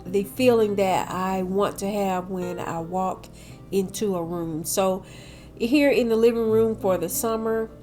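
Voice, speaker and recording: A woman's voice: medium pitch, fairly even intonation, measured pace, a little mellow. Older woman, cold-nasal, chatty decor vlogger voice-over, faint music bed. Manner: chatty, addresses viewers as y'all. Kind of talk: casual voice-over narration.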